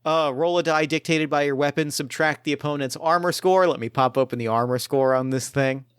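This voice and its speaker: A man's voice: a little high, steady tone, a little fast, fairly bright tone, clear, resonant tone, no echo, clean studio sound. A man acting as game master, narrating an improvised tabletop game casually and thinking aloud in colloquial language.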